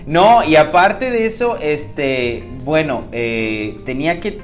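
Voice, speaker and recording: A man's voice: on the high side, expressive, slow, soft background music. A man hosting a podcast, talking in a loose, rambling way with many fillers and slang. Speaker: podcast host. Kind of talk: casual conversation.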